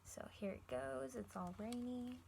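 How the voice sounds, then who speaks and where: A woman teacher reading a picture book to children, encouraging them and pausing to explain things simply and ask questions. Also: a little high, vocal fry, darkish tone, slight background noise. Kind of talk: read-aloud lesson with questions to young listeners.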